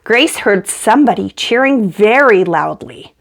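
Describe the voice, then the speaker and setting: A woman's voice: mid-range voice, expressive, a little slow, vocal fry. A woman reading a children's picture book aloud as a storyteller, acting out the dialogue as she goes.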